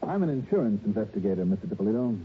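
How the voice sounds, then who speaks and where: A cool American investigator speaks low and fast in a dry, clipped, hard-boiled way. The sound is that of old radio detective drama, with a narrow, muffled band. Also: male voice, sing-song, slight background noise. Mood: probing, businesslike. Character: cool, matter-of-fact male insurance investigator narrating his case.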